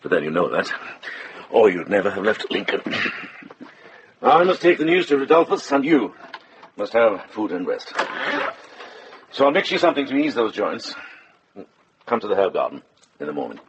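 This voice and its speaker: An actor playing a wise, kindly, seasoned medieval monk in a radio drama, unhurried and gently wry. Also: male voice, fairly low pitch, sing-song, a little hiss or hum, poor audio. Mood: warm concern. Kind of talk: British radio drama acting.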